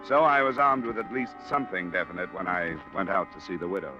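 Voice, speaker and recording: A man playing a cool, probing insurance investigator who narrates and questions in a measured, matter-of-fact vintage radio detective style. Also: deep voice, close to an everyday voice. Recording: dull vintage radio recording with music.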